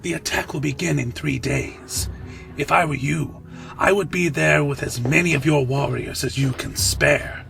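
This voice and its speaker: A man playing an ambitious, condescending vampire lieutenant in a fan audio drama, persuasive and deliberate, with insinuating delivery. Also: on the high side, gravelly, a bit bright, soft background music. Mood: fervent.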